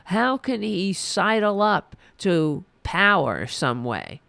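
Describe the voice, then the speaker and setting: Hoarse, heated woman ranting. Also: medium pitch, big pitch swings, slow, a bit bright, dry recording. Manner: heated, profane, sarcastic. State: losing her voice. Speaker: podcast host. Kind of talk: angry political rant.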